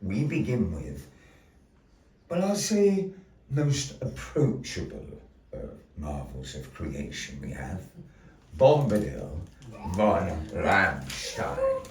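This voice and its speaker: A man hosting a live show as storyteller, with grandiose, deliberate phrasing and playful asides to the audience. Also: deep voice, wildly swooping pitch, slight background noise. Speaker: storyteller and master of ceremonies. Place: indoor gallery. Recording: roomy echo, voice distant from mic.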